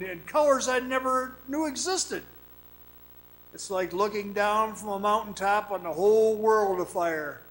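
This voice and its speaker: A man playing a weary, awestruck man who recounts an eerie ordeal in a radio drama, dramatic, halting and haunted. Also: sits high, a bit bright, rough recording. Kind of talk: dramatic radio play narration. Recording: music behind the voice.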